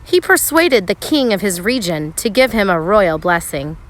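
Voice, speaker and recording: A woman narrating a children's storybook aloud, expressively, with measured phrasing and dramatic pauses for emphasis. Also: mid-range voice, sing-song, fairly bright tone. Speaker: teacher reading a picture book aloud.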